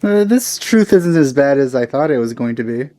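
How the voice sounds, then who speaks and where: A man's voice: mid-range voice, lively intonation, moderate pace, mellow. A man giving casual let's play commentary on a game, musing and speculating aloud as he goes. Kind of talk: casual blind playthrough commentary.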